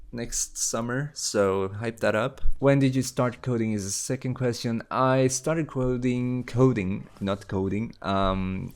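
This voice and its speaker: A man in his late teens answering viewer questions in a casual, rambling way, with many fillers and restarts. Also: mid-range voice. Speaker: YouTube creator. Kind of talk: casual Q&A chat.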